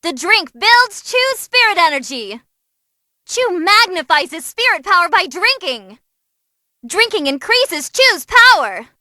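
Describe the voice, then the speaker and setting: A woman voicing an excitable young female demon who commentates on tournament fights in a bubbly, theatrical way for a game. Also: very high voice, measured pace, no echo, studio quality, heavily acted. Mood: brisk, emphatic.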